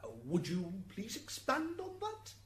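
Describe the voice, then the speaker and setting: A woman's voice: sits high, wildly swooping pitch, a little slow, full character voice. A woman playing a courteous, slightly flustered and wry defence lawyer in a radio drama. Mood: flustered, polite. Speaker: defence advocate.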